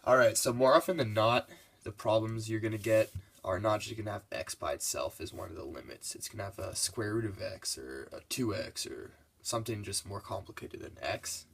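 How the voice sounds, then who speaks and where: A man's voice: on the low side, moderate pace, creaky, slightly rough. A congested young man giving a casual, laid-back math lesson over his worked problems. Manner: laid-back, loose and casual, with many fillers.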